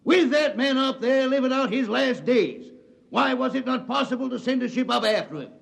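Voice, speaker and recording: An actor playing a folksy, indignant Southern senator in a 1950s radio drama, with a Southern accent and an oratorical, accusing delivery. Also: male voice, very high voice, animated, moderate pace, vocal fry, exaggerated voice. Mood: grave, pressing.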